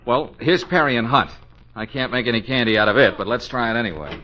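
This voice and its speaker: A man's voice: mid-range voice, expressive, fast, pushed and bright. A man playing a flustered, exasperated American businessman boss in an old radio comedy drama, stammering and sarcastic. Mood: weary, grumbling. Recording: dull old radio recording.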